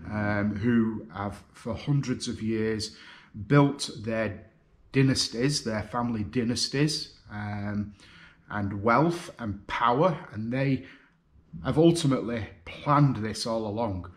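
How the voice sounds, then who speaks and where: Man in his fifties, Lancashire accent, lowish voice, earnest, hesitant fillers, seated on a sofa at home. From North West England. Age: 50s. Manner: earnest, halting, many fillers. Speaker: video contributor. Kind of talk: personal video statement. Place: living room, seated on a sofa.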